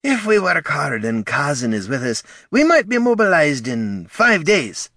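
A man voicing a gruff, grudging older male dwarf guildmaster who is fussy and snappish. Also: a little high, sing-song, measured pace, pushed and bright, no echo, clean studio sound, pitched above the performer's own voice, exaggerated voice.

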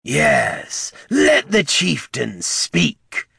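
A man performs a cruel henchman in a fantasy audiobook, sneering on behalf of an orc chieftain. The voice is foul and theatrical, raised in pitch and swooping with mockery. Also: huge pitch swings, drawn-out words, creaky, pushed and bright, slightly rough, no room sound, studio quality, exaggerated voice. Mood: gleeful mockery. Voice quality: foul, shrieking voice. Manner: mocking, theatrical. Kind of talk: audiobook character voice.

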